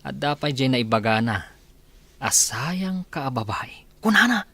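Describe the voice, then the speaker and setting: A man's voice: mid-range voice, very animated, measured pace, pushed and bright, breathy edge, no room sound, heavily acted. A man playing a gossiping household worker at a mansion, in a radio drama. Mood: gossipy.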